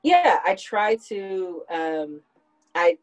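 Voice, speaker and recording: A woman's voice: lowish voice, sing-song, very slow, soft background music, low-quality recording. A woman in her thirties hosting a podcast, talking things through in a reflective, conversational way. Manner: reflective, explains at length. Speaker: podcast host. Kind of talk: casual conversational chat.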